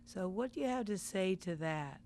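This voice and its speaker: A woman calling in to a talk show with a husky voice, hesitant and full of ums. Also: animated, normal speed, darkish tone. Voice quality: husky, low-sounding voice. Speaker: caller. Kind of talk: call-in question.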